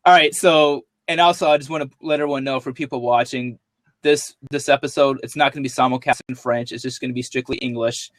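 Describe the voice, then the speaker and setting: A man's voice: mid-range voice, steady tone, fast, a bit bright, dry recording. A man hosting a sports podcast, upbeat and loose, joking with his guest. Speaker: podcast host. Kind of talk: casual podcast chat.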